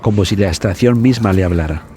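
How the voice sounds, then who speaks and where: A man narrating a scripted suspense horror story for a podcast in a dramatic, measured way. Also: low-pitched, dark tone, slight background noise. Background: background music.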